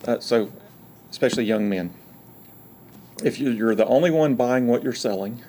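A man's voice: medium pitch, moderate pace, warm tone, slight background noise. A middle-aged man with a Georgia Southern accent, a university provost chatting as a podcast guest in a folksy, joking, frank way. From Georgia, USA. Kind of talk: casual interview chat.

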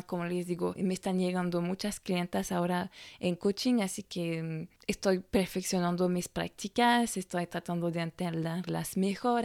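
A woman's voice: lowish voice, a little mellow, clean tone. French-accented woman speaking Spanish, reflective podcaster. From France. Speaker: podcast host and life coach. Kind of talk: personal solo podcast monologue.

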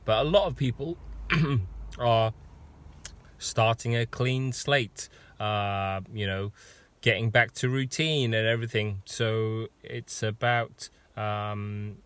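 Husky man with a sore throat, slow. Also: mid-range voice, fairly bright tone, dry recording. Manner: rambling, with many ums and restarts. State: hoarse with a sore throat and cough. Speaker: fitness coach. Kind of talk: casual live video talk.

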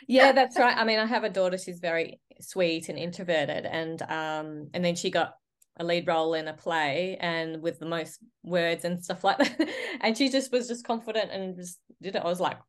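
A woman's voice: on the low side, moderate pace. Upbeat woman co-hosting a podcast. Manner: casual, upbeat, filler-heavy. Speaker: podcast co-host. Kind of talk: casual podcast interview.

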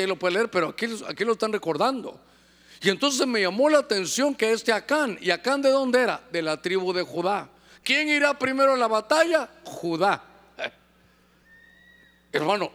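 High-voiced male preacher, lively and joking, acts out little scenes. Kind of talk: sermon.

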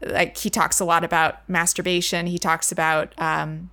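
A woman with a bright, forward tone and a slightly low pitch co-hosts a book discussion. She explains things in an informal, conversational way. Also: flat delivery, normal speed. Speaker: podcast co-host.

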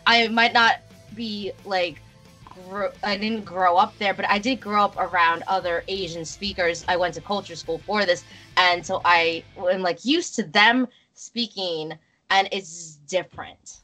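A woman's voice: medium pitch, fairly even intonation, measured pace, pushed and bright, soft background music, poor audio. A woman co-hosting a podcast in casual chat, talking chattily with lots of fillers. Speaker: podcast co-host.

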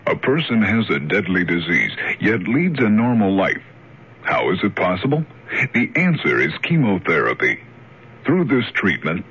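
A man's voice: deep voice, slightly rough. An American man reading a scripted public service announcement on the radio, earnest and emphatic. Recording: dull old radio broadcast recording.

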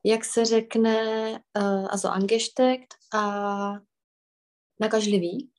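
A woman teaching a language on a call, patient and encouraging. She keeps prompting and gently correcting, with frequent short acknowledgments. Her voice sits at an ordinary mid pitch. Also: level tone, takes it slowly. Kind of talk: online language lesson.

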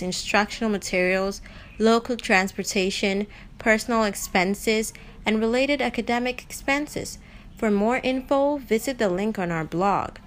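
A woman hosting a radio drama podcast, talking informally and emphatically to her listeners. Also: mid-range voice. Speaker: podcast host. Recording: clear background noise.

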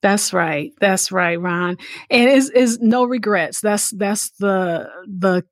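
A woman's voice: medium pitch, slow, no echo, studio quality. A woman hosting a conversational podcast interview, affirming her guest and repeating phrases for emphasis. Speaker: podcast host.

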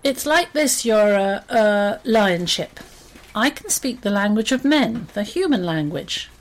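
A woman voicing a small, boastful male mouse in a storybook, squeaky and self-important, easily offended. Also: expressive, measured pace, lightly acted. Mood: confident. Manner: self-important, cocky, faltering when scared.

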